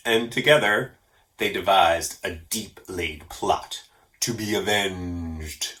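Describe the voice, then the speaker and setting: A man reading a story aloud as an expressive narrator. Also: deep voice, slow, rough recording. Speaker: storyteller for a library story program.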